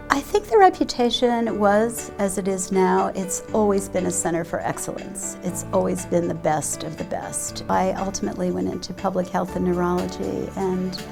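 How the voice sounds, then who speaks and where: Gravelly, lowish-voiced woman giving a testimonial. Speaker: nurse. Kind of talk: personal testimonial for a promotional video. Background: music.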